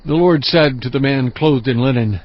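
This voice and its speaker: Brief: male narrator, mid-pitched, steady and measured, muffled lo-fi sound with music underneath. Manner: measured, steady storytelling delivery. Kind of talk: scripted dramatized scripture narration. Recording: dull lo-fi audio with music bed.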